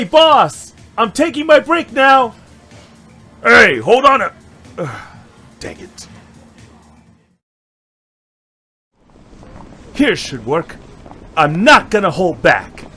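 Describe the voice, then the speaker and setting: A man playing an eager, good-natured fast-food worker and retired martial arts pupil in an amateur anime-style drama, excitable and boastful but respectful. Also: sits high, heavily acted. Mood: fired up.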